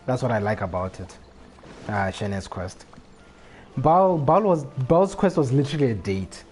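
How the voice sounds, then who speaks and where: A man's voice: medium pitch, sing-song, measured pace, darkish tone, faint music behind. A man talking casually as a live game streamer, rambling and often repeating his phrases. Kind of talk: casual gaming livestream chat.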